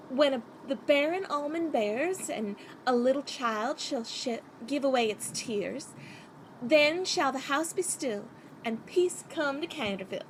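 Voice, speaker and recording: Woman playing a high-voiced, earnest young girl. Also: a little hiss or hum, poor audio. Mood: earnest, puzzled. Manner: earnest, innocent. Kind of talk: radio play acting.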